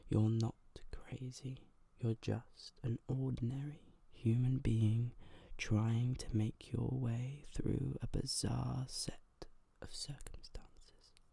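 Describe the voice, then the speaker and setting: An ASMR host reading aloud in a soft, breathy whisper, gentle and hushed, close to the microphone. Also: medium pitch, no echo. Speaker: host of an ASMR video channel.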